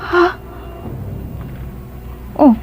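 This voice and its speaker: A woman's voice: drawn-out words, faint music behind. A woman playing a meek young household helper in a radio drama, on a dull, noisy recording. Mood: uneasy, probing.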